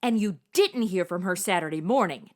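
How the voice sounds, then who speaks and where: A woman in her twenties playing a brash, excitable, slangy amateur detective in a comedic audio drama. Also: fairly low pitch, moderate pace, lightly acted. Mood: sassy, nosy. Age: 20s. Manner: sassy, slangy, interrupting.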